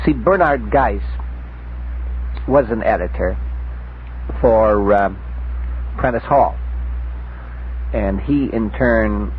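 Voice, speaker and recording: A man hosting a conversational radio discussion, speaking in a deliberate, assertive way. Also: lowish voice. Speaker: radio host. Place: 1960s radio studio. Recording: narrow, muffled old radio recording, faint noise.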